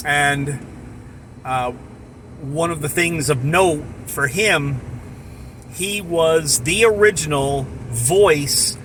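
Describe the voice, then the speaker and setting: Slow-paced middle-aged man reminiscing. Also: on the high side, fairly bright tone, a little hiss or hum. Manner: halting, reflective, with many short phrases. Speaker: storyteller, amateur voice actor. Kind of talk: casual personal reminiscence.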